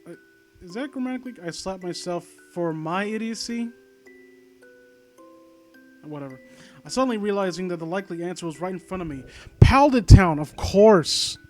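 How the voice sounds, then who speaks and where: A man's voice: high-pitched, normal speed, long pauses. A man reading a story aloud and breaking off into sarcastic, exasperated, profane commentary. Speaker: host reading and critiquing an online horror story. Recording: video game music playing behind. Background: glockenspiel-like video game music.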